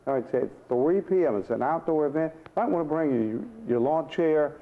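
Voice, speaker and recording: A man's voice: a little high, lively intonation, moderate pace, slight background noise. A middle-aged or older man hosting a casual, folksy, agreeable chat interview. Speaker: midday show host. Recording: slightly dull, lo-fi sound.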